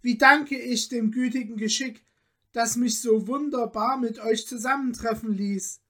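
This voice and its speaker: A woman's voice: fairly high pitch, fairly even intonation, measured pace, a bit bright, pitched above the performer's own voice. A woman voicing an earnest, modest young craftsman from a storybook, with polite, formal, old-fashioned diction. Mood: amazed, thankful.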